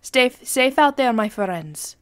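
A woman's voice: a little high, expressive, unhurried, smooth. A woman presenting the end of a show with a playful sign-off to viewers. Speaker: credits presenter and singer.

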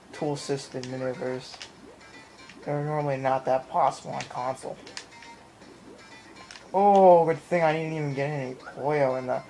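A man giving casual let's play commentary in an excitable way, often repeating his phrases. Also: a little high, low-quality recording. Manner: excitable, repeats phrases, mimics game sounds. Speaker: video game player and commentator. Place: indoor room at home, in front of a TV. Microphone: handheld camera or phone mic. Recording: handheld camera, game music in background.

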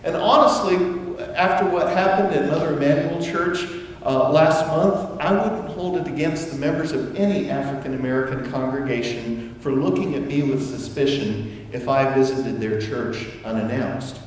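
A middle-aged man preaching a sermon to his congregation in a relaxed, conversational way, with asides and restarts. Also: mid-range voice, moderate pace, a little hiss or hum. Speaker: pastor. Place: church sanctuary. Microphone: far camera, room sound. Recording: echoey church hall from far off.

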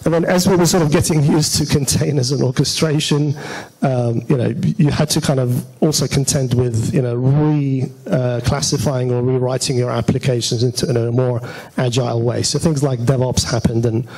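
A man with a noticeably creaky, gravelly voice at a middling pitch gives an informal tech talk from a stage. He speaks into a handheld microphone in a conversational manner, feeling his way through the phrases. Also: steady tone, normal speed, darkish tone, low-quality recording. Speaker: conference speaker. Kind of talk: informal technical presentation. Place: auditorium stage at a meetup.

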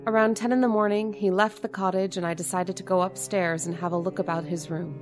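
A woman's voice: fairly low pitch, steady tone, a little fast, soft background music, natural voice. An actress playing a frightened middle-aged landlady and mother who narrates her suspicions and stammers when flustered, in a radio drama. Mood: tense. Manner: nervous, stammering.

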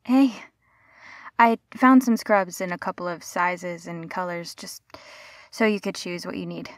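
A woman's voice: fairly low pitch, steady tone, unhurried, no room sound, clean studio sound. A woman playing a caring, flustered young rescuer in an intimate audio roleplay, speaking in a hesitant, stammering, soothing way. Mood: gentle, practical.

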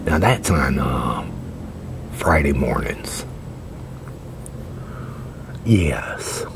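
Man in his sixties, soft ASMR whisper, extremely low pitch, halting slow delivery, clear outdoor background noise. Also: lively intonation. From United States. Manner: halting, rambling, repeats soft affirmations. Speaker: ASMR performer playing a librarian. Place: outdoors at a metal picnic table under a shelter. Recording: noisy outdoor recording with traffic-like hum.

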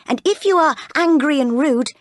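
Woman acting a chiding servant woman, raised pitch. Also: normal speed, no room sound, studio quality, lightly acted. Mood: admonishing. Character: admonishing female servant lecturing a child.

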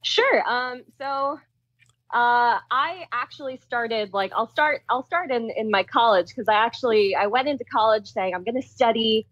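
Woman scientist in her thirties, animated and chatty, dry and a bit lo-fi. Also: on the high side, moderate pace, dry recording. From Philadelphia, US. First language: Tamil. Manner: laughs often, chatty and animated. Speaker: guest scientist. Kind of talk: casual interview chat.

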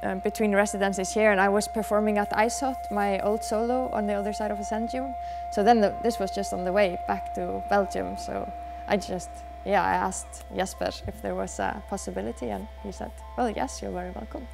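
A soft-spoken Icelandic dancer in her thirties, answering interview questions thoughtfully with many pauses, in a dark dance studio. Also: medium pitch, fairly even intonation, gravelly, over music. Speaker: dancer and choreographer.

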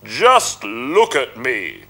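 A man voicing a huge, boastful male ogre who booms his words slowly and sneers, with music behind. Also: very animated, very noisy, exaggerated voice. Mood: sneering. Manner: booming, slow and drawn-out. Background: background music.

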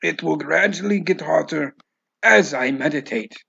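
Guy doing a resolute doomed man in a story, higher gravelly voice, bossy and fatalistic. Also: moderate pace, bright, forceful tone, highs cut off, full character voice. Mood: calm, instructing. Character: grim, resolute man instructing his own death ritual.

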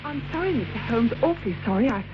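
A woman acting an eager, polite secretary in a vintage radio play. Her high voice is very lively in pitch, deferential and a little hesitant. The recording is old and dull. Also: quick pace, over music, natural voice. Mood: apologetic, concerned. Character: polite, solicitous female office secretary, eager to help.

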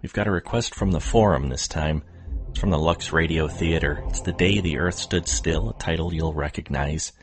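A man's voice: extremely low pitch, normal speed. A man giving a scripted introduction as host of a podcast.

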